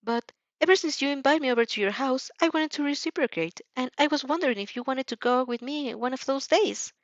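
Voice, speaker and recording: A woman's voice: high-pitched, a little fast, no room sound, highs cut off, pitched above the performer's own voice, close to an everyday voice. A woman voicing a polite, earnest teenage schoolgirl with a courteous, eager manner in an audio fan fiction.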